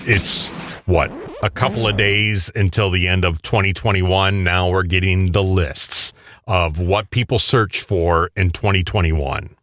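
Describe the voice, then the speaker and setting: A man's voice: low voice, fairly even intonation, a little slow, a bit bright. A man co-hosting a radio show, bantering casually as he reads out countdown lists.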